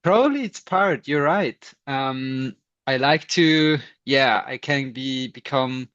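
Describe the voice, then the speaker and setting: A man with a Swiss German accent, a non-native English speaker, chatting as an interview guest, thoughtful and quick to laugh. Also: mid-range voice, fairly bright tone, dry recording, highs cut off. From Switzerland. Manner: thoughtful, laughs often, many restarts. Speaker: guest, scientist and runner. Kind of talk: casual interview chat.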